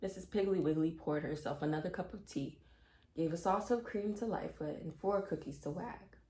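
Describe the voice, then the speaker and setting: A woman narrating a children's chapter book in an expressive read-aloud style. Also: on the low side, normal speed, echoey room. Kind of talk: expressive scripted story reading.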